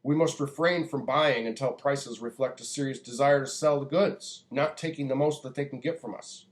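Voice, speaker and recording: An American man delivering a prepared public address in a deliberate, earnest, preaching manner. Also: medium pitch. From United States. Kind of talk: earnest prepared monologue, oratory.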